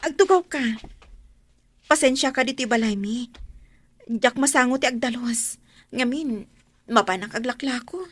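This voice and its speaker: A woman acts out a long-suffering wife and struggling mother in a radio drama. Her voice sits fairly high, with an Ilocano accent. The sound is close and dry. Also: moderate pace, pauses often, fairly bright tone, no room sound. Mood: welcoming.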